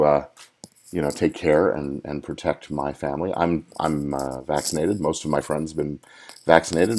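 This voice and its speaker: A man's voice: sits low, darkish tone. A middle-aged man hosting his own live podcast, chatty and casual, with many fillers and restarts. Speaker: podcast host, lawyer. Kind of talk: solo live podcast talk. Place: hotel at a conference.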